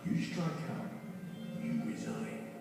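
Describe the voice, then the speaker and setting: A man playing a big, stern animal police chief in an animated film, gruff and commanding. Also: close to an everyday voice. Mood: stern warning. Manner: commanding, deliberate. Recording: echoey, distant, music behind.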